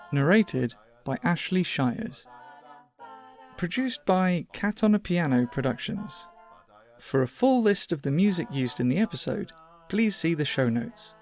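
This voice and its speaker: A man's voice: fairly high pitch, darkish tone. A man reading scripted closing credits as a podcast announcer.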